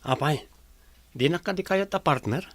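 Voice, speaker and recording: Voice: mid-range voice, lively intonation, moderate pace, a little hiss or hum, natural voice. An actor with an Ilocano accent playing a youthful, inquisitive, earnest villager in a radio drama. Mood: playful.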